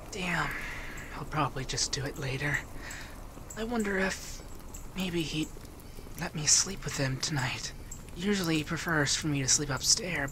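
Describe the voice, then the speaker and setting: A man playing an affectionate, teasing femboy monster companion in a soft-spoken ASMR roleplay, with an intimate, breathy, half-whispered delivery. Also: fairly high pitch, noisy background. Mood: tender musing.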